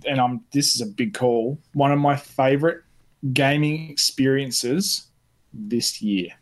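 A man with an average-pitched voice chats as a podcast panellist. His delivery is informal and hesitant, with restarts as he searches for the next word. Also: a little slow. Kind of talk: casual chat.

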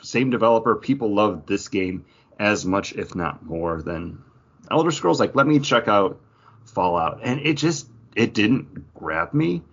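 Male co-host, husky and fairly low, relaxed conversational chat, dull lo-fi sound. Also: unhurried. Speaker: podcast co-host. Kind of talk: casual pop-culture chat. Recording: dull lo-fi podcast audio.

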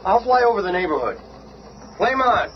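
A very noisy, muffled old radio drama clip of a brash young male superhero. His voice is high, eager and teasing. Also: very animated, normal speed. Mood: brash, excited. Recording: muffled old radio recording, heavy noise.

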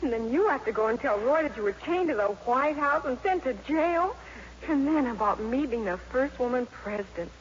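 A woman's voice: high-pitched, lively intonation, moderate pace, slight background noise. An actress playing an earnest, easily embarrassed teenage schoolgirl in a 1950s radio comedy, with a breathless, flustered delivery. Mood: hurt, embarrassed. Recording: dull vintage radio broadcast with music.

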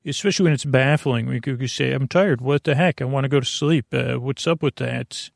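Male sleep-podcast host: fry-heavy voice, halting, wandering casual chat, very dry close recording. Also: mid-range voice, no echo. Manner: meandering, halting, trails off and goes off topic. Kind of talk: rambling casual monologue to help listeners fall asleep.

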